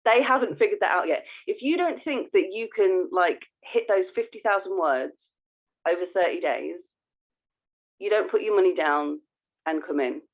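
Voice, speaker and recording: A woman's voice: medium pitch, expressive, moderate pace. A woman co-hosting a podcast in casual conversation, explaining things informally and often restarting her sentences. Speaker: podcast co-host. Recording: narrow phone-like sound.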